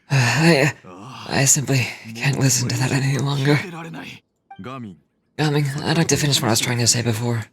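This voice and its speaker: Middle-aged game father, played by a woman: low, earnest, stern yet caring. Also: unhurried, long pauses. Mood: earnest, concerned.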